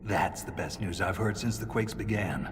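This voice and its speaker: Man playing sardonic dwarf warrior, very low voice, video game dialogue, cavernous reverb, music behind. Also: moderate pace, background noise, natural voice. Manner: dry, sardonic, plain-spoken. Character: gruff, wry dwarf soldier of a doomed underground legion.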